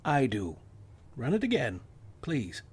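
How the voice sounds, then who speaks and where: A man's voice: mid-range voice, huge pitch swings, slow, darkish tone, husky, heavily acted. An actor playing a distracted, dry-witted male astronomy professor in a radio-play style script reading. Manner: dry, teasing, offhand.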